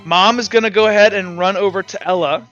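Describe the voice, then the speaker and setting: A man's voice: high-pitched, a little fast, a bit bright. A young man narrating as game master of a tabletop game, rambling with lots of "like" fillers. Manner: rambling, heavy use of "like". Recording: dull lo-fi sound with faint music.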